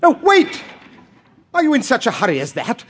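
An actor playing a chatty, well-to-do tourist in an audio drama, affected, pushy and over-polite. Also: male voice, very high-pitched, wildly swooping pitch, normal speed, breathy edge, heavily acted. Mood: eager, insistent. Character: eager, chatty, well-to-do English gentleman tourist. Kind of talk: dramatized audio play acting.

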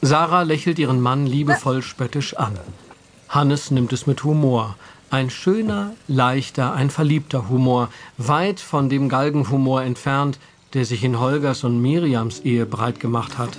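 Wry male narrator, medium pitch. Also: slight background noise. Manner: wry, measured storytelling. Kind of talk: scripted audio drama narration.

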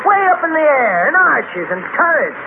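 A folksy town gossip, noisy radio. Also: male voice, very high voice, sing-song, normal speed, gravelly, heavily acted. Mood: admiring. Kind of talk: 1930s radio acting. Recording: noisy, narrow-band 1930s radio recording.

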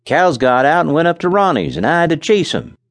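A man's voice: on the high side, very animated, fairly quick, dry recording, pitched above the performer's own voice. A man voicing a blunt, grumbling rural farmer with folksy speech.

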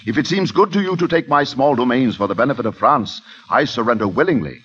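An actor playing a sly, deferential count with a courtly, insinuating manner in old radio drama style. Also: male voice, medium pitch, lively intonation, fast, a bit bright, breathy edge. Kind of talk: 1930s-40s radio drama acting. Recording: dull vintage radio recording.

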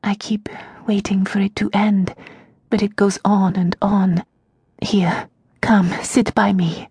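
A woman voicing a young noblewoman prisoner awaiting execution, who speaks in a hushed, detached whisper. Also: medium pitch, steady tone, a little slow, gravelly, slightly rough, dry recording. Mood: weary.

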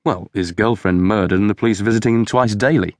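A man's voice: fairly low pitch, quick pace, darkish tone, no echo, clean studio sound, close to an everyday voice. Man voicing a tactful junior police detective. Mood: matter-of-fact. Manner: placating, polite. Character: tactful, eager-to-smooth-things male police detective subordinate. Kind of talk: audiobook character dialogue.

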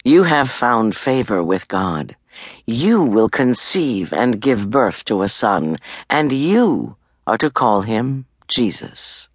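A solemn angel messenger , read by a woman, proclaims in an audiobook. The phrasing is stately and deliberate, swinging widely in pitch, in a dry studio sound. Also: very animated, dry recording, heavily acted. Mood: solemn, assuring. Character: solemn, majestic angel messenger bringing divine tidings.

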